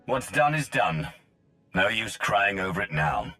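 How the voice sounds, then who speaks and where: An actor playing a cool, pragmatic, battle-hardened mercenary in a video game, terse and stoic, with a gravelly voice. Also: male voice, lowish voice, very animated, a little slow, sharp tone, soft background music, full character voice. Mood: resigned, curt. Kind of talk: video game cutscene acting.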